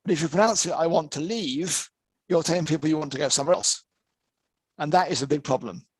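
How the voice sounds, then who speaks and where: A man's voice: on the high side, fast, breathy edge, dry recording. A native English-speaking man gives an online lecture in a slightly raised voice. He talks loosely and conversationally, repeating himself as he works through examples. The call audio is close and dry, with no room sound. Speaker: interpreting lecturer. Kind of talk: online master class lecture. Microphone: video call.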